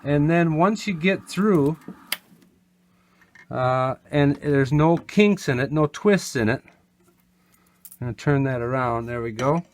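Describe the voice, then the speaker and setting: A man giving a casual hands-on product demo, explaining step by step in a plainspoken, unhurried way. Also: on the high side, expressive. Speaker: vintage gear seller and demonstrator.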